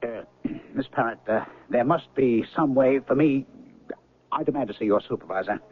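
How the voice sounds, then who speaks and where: An actor playing a flustered, indignant airline passenger in a 1970s radio drama, exasperated, sputtering and insistent. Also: male voice, mid-range voice, normal speed, natural voice. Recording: muffled, phone-like old radio broadcast.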